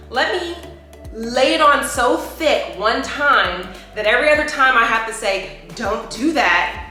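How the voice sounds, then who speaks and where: A woman's voice: on the high side, pushed and bright. Middle-aged woman chatting in an echoey room. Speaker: co-host. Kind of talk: casual chat show talk. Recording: very echoey room, faint music.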